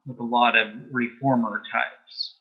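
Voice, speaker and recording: A man answering as an interview guest in a matter-of-fact, measured way, telling small anecdotes in conversation. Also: mid-range voice, little pitch movement, slightly rough. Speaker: interview guest, author and playwright. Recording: dull, band-limited sound.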